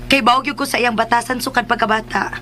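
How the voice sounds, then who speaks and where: A woman's voice: a little high, steady tone, fast talker, sharp tone, faint music behind. A woman playing a caring, puzzled younger woman in a radio drama, hesitant and confiding as she speaks.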